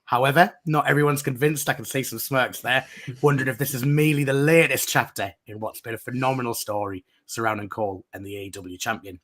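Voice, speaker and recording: A man hosting a wrestling podcast, his voice croaky from being unwell, rambling and restarting. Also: medium pitch, fairly even intonation, fast, few pauses, a bit bright. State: croaky voice from recent illness. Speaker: podcast host. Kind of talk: casual podcast chat and news reading.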